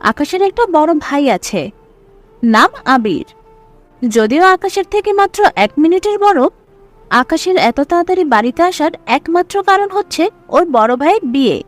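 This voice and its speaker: A woman narrates a scripted story in a high voice with lively swings of intonation. Faint music plays behind her. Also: moderate pace.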